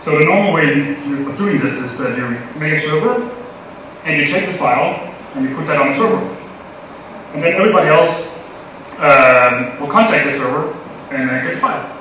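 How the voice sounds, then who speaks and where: Young danish guy rambling through a conference talk, lots of ums, boomy hall, fuzzy lo-fi sound. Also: lively intonation, normal speed, pauses often, pushed and bright. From Denmark. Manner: casual, playful, many fillers. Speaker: conference speaker. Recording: echoey hall from far, dull lo-fi audio, noisy.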